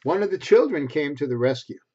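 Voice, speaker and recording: A man's voice: a little high, brisk, husky. A man in his sixties reading a picture book aloud to preschoolers, expressively and in simple phrases with little asides. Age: 60s. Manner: expressive, simple phrasing aimed at young children. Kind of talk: read-aloud children's storytelling with asides. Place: small bedroom at home, indoors. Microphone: computer webcam at desk distance. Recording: dull lo-fi webcam sound.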